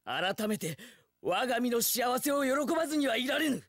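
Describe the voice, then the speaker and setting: An actor playing a young, hot-blooded, loyal samurai in an action game, bellowing earnestly in archaic warrior speech. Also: male voice, extremely high pitch, big pitch swings, moderate pace, a bit bright, dry recording, clean studio sound, exaggerated voice. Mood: fiery resolve. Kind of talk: Japanese action game voice acting.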